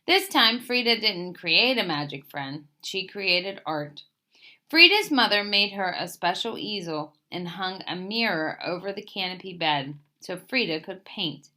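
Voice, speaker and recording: Female storyteller for picture book read-aloud. Bright tone, highly varied pitch, expressive phrasing. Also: a little slow. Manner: expressive, emphatic phrase openings that settle at sentence ends.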